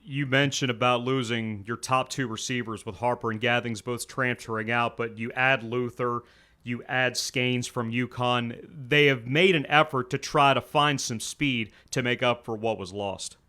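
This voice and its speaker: A man's voice: medium pitch, fairly even intonation, moderate pace, pushed and bright. A man co-hosting a sports show, offering conversational commentary and questions.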